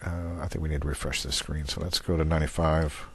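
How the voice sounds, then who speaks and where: A man giving an unscripted, matter-of-fact software walkthrough, going methodically step by step as a demonstrator on a screen recording. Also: extremely low pitch, little pitch movement, fast, husky.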